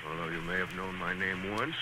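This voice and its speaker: An actor playing a humble, once-famous old movie actor in a 1930s radio drama. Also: male voice, sits low, steady tone, a little fast, very noisy, lightly acted. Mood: modest, resigned. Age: older.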